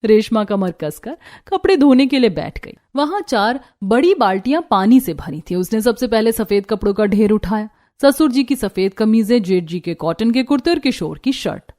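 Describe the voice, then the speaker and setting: A woman narrating a scripted cartoon family story in an expressive storytelling manner. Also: a little high, moderate pace, hardly any pauses.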